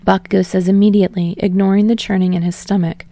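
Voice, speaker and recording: Woman narrating fiction, creaky voice. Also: mid-range voice, level tone, fast, warm tone. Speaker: fan fiction audiobook narrator. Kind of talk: scripted story narration.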